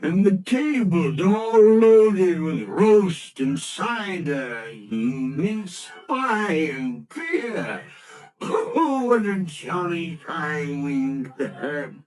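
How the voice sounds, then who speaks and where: An actor playing an old male miser in a vintage radio drama, halting and tremulous, repeating himself. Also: sits high, sing-song, darkish tone, heavily acted. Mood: delighted. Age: older.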